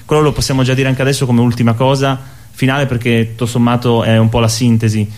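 A man talks quickly and eagerly as a guest in a casual radio interview. His explanations ramble along at a medium pitch. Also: flat delivery, a little mellow. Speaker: theatre-maker, studio guest.

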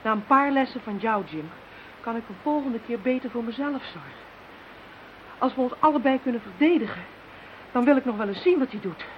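Radio play: defiant female victim, played by an actress, highish emphatic voice, muffled hissy recording. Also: expressive, brisk, natural voice. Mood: resolute. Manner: emphatic, dramatic. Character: defiant, determined woman hunted by a telepathic enemy. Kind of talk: Dutch radio play acting.

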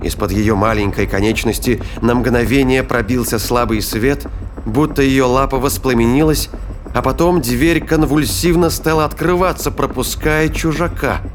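A man giving scripted dramatic narration of a science fiction thriller in a deliberate, suspenseful manner. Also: medium pitch, a little hiss or hum. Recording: music behind the voice.

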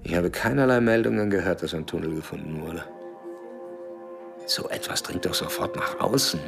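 A lowish male voice, sceptical and agitated, as in a radio thriller. His questions come with breathy emphasis. Music sits clearly behind the voice. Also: normal speed. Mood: questioning. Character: sceptical, agitated male friend interrogating a soldier. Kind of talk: German radio drama dialogue.

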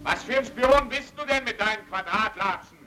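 An actor playing an agitated man, loudly taunting and challenging someone in a radio crime drama. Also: sits high, normal speed, soft background music. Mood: taunting, angry. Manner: loud, taunting, belligerent. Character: agitated man shouting challenges at a lurker in the dark.

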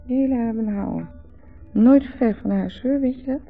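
Dreamy, rambling Dutch wife. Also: female voice, a little high, normal speed, gravelly. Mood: musing. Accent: Netherlands Dutch. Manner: rambling, repetitive, musing. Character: dreamy, rambling, teasing wife, drinking under a full moon. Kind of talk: 1970s radio drama acting. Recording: dull old radio recording with music.

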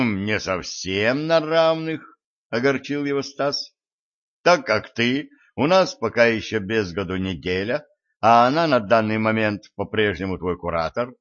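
A man narrating an audiobook, reading the dialogue with small shifts for each character. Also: on the low side. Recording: dull lo-fi audio.

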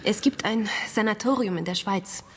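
Actress as worried sister, medium-pitched, polite, composed, earnest, radio thriller, faint background noise. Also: female voice, normal speed, natural voice. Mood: earnest, concerned. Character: earnest, worried woman client searching for her brother. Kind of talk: German radio crime drama acting.

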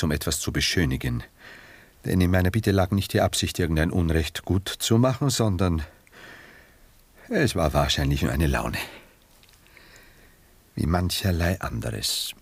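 A man acting in a 1960s German radio drama as a cultivated, coolly ironic gentleman who speaks with formal, measured, detached courtesy. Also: low-pitched, breathy edge, no echo, heavily acted.

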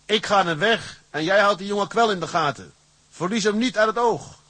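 Husky, shrewd tugboat captain. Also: male voice, high voice, moderate pace, bright, forceful tone, no echo. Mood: urgent commanding. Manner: wry, blunt, commanding. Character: shrewd, defiant male tugboat captain, plain-spoken schemer. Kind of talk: Dutch radio drama acting.

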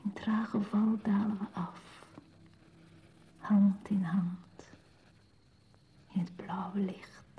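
A woman's voice: mid-range voice, steady tone, drawn-out words, pauses often, slight background noise. An actress playing a grave, dreamy woman in a poetic radio play, speaking solemnly and lyrically in a dull lo-fi recording. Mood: dreamy, hushed.

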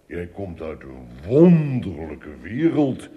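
A man playing a curious, bewildered giant king in a radio drama, scornful of mankind, halting and repeating himself. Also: deep voice, very animated, lo-fi sound, exaggerated voice. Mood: puzzled, curious.

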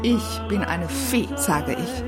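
A woman's voice: medium pitch, expressive, takes it slowly. Female first-person feature narrator, wry and musing, mid-range voice, music behind. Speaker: narrator playing a wish-granting fairy.

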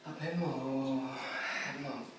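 A weary professor reflects haltingly in a theatrical monologue. He speaks slowly, and the sound is very echoey and distant, with some noise. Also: medium pitch, steady tone. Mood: hesitant. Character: weary, introspective male professor narrating his own story. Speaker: stage actor. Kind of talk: theatrical monologue and dialogue, Italian radio play. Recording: very echoey, distant, noisy stage recording.

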